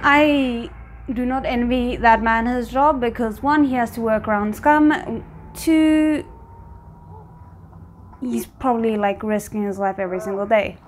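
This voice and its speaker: A woman's voice: fairly high pitch, a little slow, slight background noise. A woman giving casual, off-the-cuff reaction commentary as she watches a show, with muttered wry asides. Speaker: reaction video host.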